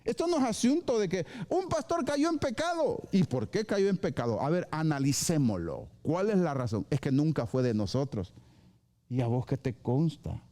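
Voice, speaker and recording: A male pastor preaching a live sermon at a church service. He is lively, acts out short dialogues and drops English phrases into his preaching. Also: fairly high pitch, normal speed.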